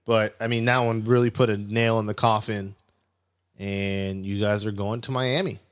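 A man's voice: lowish voice, little pitch movement, moderate pace. A man co-hosting a casual sports podcast, hyped and swearing as he banters. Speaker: podcast co-host.

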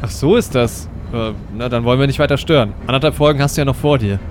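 A man's voice: medium pitch, fast, slight background noise. A man acting as himself, a hero in a casual audio play dialogue. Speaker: show host playing himself as hero.

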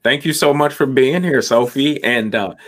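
A man hosts an interview show with a chatty, enthusiastic energy. He welcomes guests warmly in tone, restarts his sentences and strings several questions together. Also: medium pitch, normal speed. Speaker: podcast host. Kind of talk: upbeat interview hosting.

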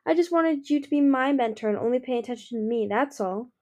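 A woman's voice: high-pitched, brisk, voice pushed up, close to an everyday voice. A woman voicing a spoiled, wheedling young female dragon princess in a storybook reading. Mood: pleading. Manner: bright, wheedling and whiny.

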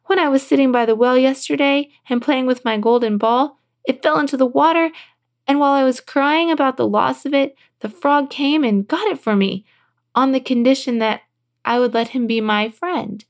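Woman acting a fretful, high-pitched young princess. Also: normal speed. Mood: distressed. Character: young princess, emotional, fretful, easily flustered. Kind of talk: fairy-tale character voice.

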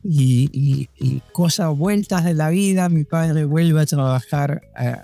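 A man's voice: a little high, takes it slowly, creaky, dark tone, faint music behind. An older man, a guest who grew up in Panama, answering interview questions in a hesitant way with many restarts and fillers.

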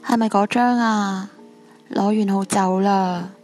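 A woman's voice: mid-range voice, steady tone, takes it slowly, darkish tone, soft background music, lightly acted. A woman with a Hong Kong Cantonese accent playing a bitter, heartbroken, stubborn young female ghost in a supernatural radio drama. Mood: cold, mocking.

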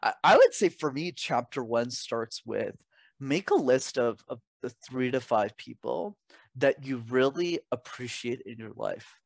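A man's voice: mid-range voice, expressive, a little slow, creaky, no echo. A young man giving conversational advice as an interview guest, emphatic and articulate, often posing rhetorical questions. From Canada. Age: young adult. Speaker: interview guest, communication coach. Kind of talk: conversational advice and personal anecdotes.

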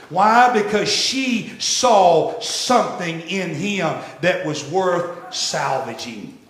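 Man in his 60s, pastor mid-sermon. Unhurried delivery, swings from loud repeats to near-hush, boomy room. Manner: emphatic, repeats phrases and swells loud, then drops very soft. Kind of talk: sermon with storytelling. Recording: echoey church room.

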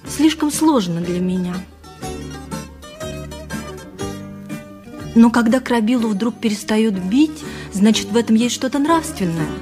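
Actress as a musing milliner, music behind. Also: female voice, fairly high pitch, animated, normal speed, lightly acted. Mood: puzzled, musing. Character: thoughtful, simple milliner weighing a suitor. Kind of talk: 1980s radio play narration and dialogue.

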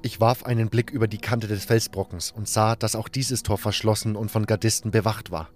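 A man's voice: lowish voice, steady tone, a little fast, faint music behind. A man reading scripted first-person audiobook narration as a dramatic storyteller.

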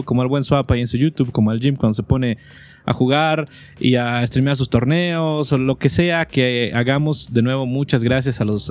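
A man's voice: medium pitch, darkish tone. A man co-hosting a casual esports podcast, talking informally and often repeating words as he finds his phrasing. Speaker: podcast co-host. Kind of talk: casual chat about esports.

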